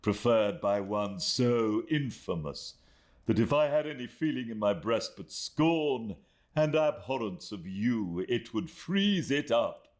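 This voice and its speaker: A cold, proud woman , played by a man, sneers each word with slow, measured contempt. The voice sits a little above a man's normal pitch. It moves expressively between hushed and sharp. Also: expressive. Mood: scornful.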